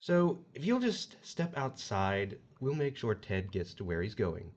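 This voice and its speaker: An actor playing a smug, folksy agent of the afterlife who mockingly recites a sinner's crimes in an audio horror drama. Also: male voice, on the low side, very animated, unhurried, darkish tone, dull audio. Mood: folksy, impatient.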